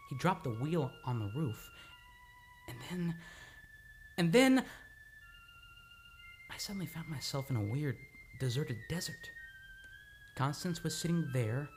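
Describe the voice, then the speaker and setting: A man playing a troubled, agitated amnesiac in an old-time radio drama, speaking haltingly and repeating his words. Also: a little high. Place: radio studio, live radio play. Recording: dramatic music under the voice.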